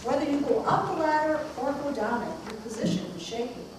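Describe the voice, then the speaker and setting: A woman's voice: high-pitched, lively intonation, brisk. A woman playing a serene ancient sage who declaims cryptic wisdom in an amateur puppet show. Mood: teaching. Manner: declaiming, oracular. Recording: echoey noisy room, far from mic.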